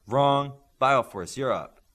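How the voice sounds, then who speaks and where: A man's voice: mid-range voice, takes it slowly, a bit bright. A man voicing a stern, demanding superhero coach in an audiobook, speaking with blunt, commanding authority to young trainees. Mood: brusque, testing. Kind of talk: audiobook character dialogue.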